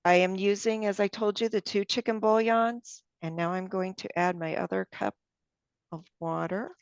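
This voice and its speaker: A woman leads a cooking demonstration and talks each step through as she works. Her voice has a gravelly, fry-tinged edge. The sound is close and dry, slightly dull, as if through a basic mic. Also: medium pitch, fairly even intonation, normal speed, no room sound. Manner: friendly, explains each step aloud while working. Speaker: cooking class instructor.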